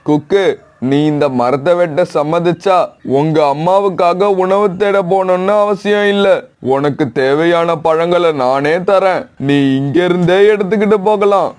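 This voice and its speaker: A man's voice: sits high, a little slow, a little mellow, clean tone. A man voicing a kindly old pigeon who owns an orchard, in a children's cartoon story. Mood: persuading. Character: old male pigeon orchard owner, kindly, asking for help.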